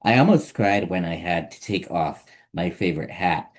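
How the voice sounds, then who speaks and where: A man hosting story time, reading a children's picture book aloud in simple, deliberate phrases. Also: deep voice, lively intonation.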